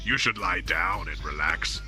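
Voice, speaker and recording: A man voicing a cynical, world-weary baby in a comic story reading, with a blunt deadpan manner. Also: low voice, a little slow, voice pushed down. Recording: music playing behind the voice.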